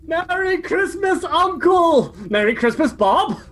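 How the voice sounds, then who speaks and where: An actor playing a cheerful, warm-hearted nephew in a radio play, speaking in an earnest, declamatory way. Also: male voice, very high-pitched, animated, slow, exaggerated voice. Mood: jubilant.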